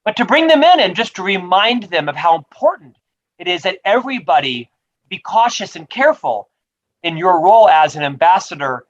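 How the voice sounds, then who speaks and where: Brief: upbeat male author guest, high voice, dull lo-fi call audio. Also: big pitch swings, normal speed. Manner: upbeat, promotional, says he stutters but talks fluently. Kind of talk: rapid-fire interview answers.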